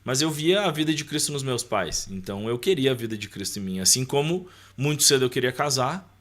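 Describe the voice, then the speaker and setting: A man's voice: mid-range voice, expressive, fairly quick, fairly bright tone. Brazilian man giving conversational personal testimony. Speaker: podcast guest.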